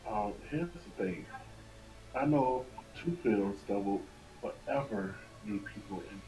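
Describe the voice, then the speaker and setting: A man's voice: fairly low pitch, lively intonation, slow pace, dark tone, faint music behind, low-quality recording. A man talking casually as a guest in a conversation on a stream. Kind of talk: casual chat.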